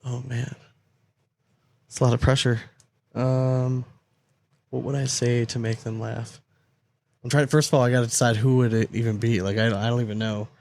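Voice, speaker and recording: A man's voice: medium pitch, flat delivery, moderate pace, creaky, pauses often, darkish tone. A man co-hosting a casual sports podcast, chatting in an informal, jokey, slangy way. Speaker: podcast co-host.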